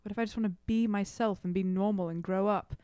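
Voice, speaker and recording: A woman's voice: mid-range voice, steady tone, quick pace, mellow, clear, resonant tone, no room sound, studio quality. A woman playing a tabletop game, bantering casually in character with an emphatic, slangy delivery.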